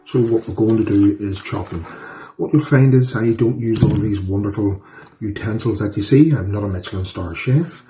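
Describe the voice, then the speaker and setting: A man's voice: lowish voice, normal speed, dark tone. A man from Northern Ireland with a Northern Irish accent, hosting a casual home cooking demonstration in a chatty, folksy, self-deprecating way. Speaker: cooking channel host. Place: home kitchen.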